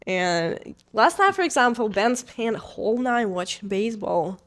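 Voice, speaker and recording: A woman's voice: a little high, big pitch swings, normal speed. A young woman from Ukraine with a Ukrainian accent, chatting casually in English with her fiancé for her vlog, full of "like" fillers. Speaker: vlogger. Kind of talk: casual couple chat.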